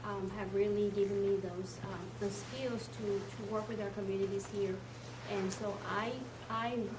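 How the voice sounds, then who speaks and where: Halting woman from Mexico in an echoey room. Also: medium pitch, background noise. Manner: halting, many fillers. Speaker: panelist, education consultant. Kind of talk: panel discussion. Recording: echoey room, far from the mic.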